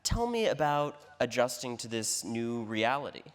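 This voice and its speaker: A man in his thirties interviewing a guest on stage, asking measured, probing questions, recorded live in a large hall. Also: medium pitch, big pitch swings. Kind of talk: onstage conversation. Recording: live hall with long reverb.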